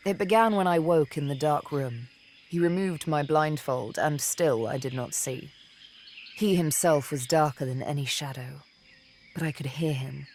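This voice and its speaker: An actress playing a haunted, wistful young elven woman in a video game, telling her tale in deliberate, poetic, theatrical phrases. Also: low-pitched, darkish tone, a little hiss or hum. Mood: wry, reflective. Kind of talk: dramatic video game dialogue, intimate storytelling.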